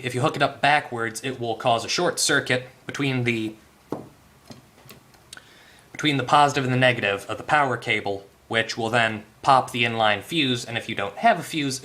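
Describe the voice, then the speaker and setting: A man's voice: mid-range voice, pushed and bright. A man hosting a hands-on electronics tutorial, explaining casually and off the cuff, thinking aloud and correcting himself as he goes. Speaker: host of an electronics repair tutorial.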